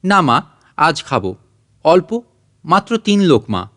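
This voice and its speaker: A man's voice: fairly high pitch, very animated, slow, bright, forceful tone, no room sound, studio quality, heavily acted. Man voicing a pleading sickly little girl. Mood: weak, pleading. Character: sick little girl pleading with her parents for food. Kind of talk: audiobook character acting.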